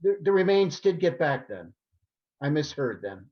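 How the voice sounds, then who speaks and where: A man in the audience of a video call, asking a question in a halting, stammering way. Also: a little high, big pitch swings, lo-fi sound.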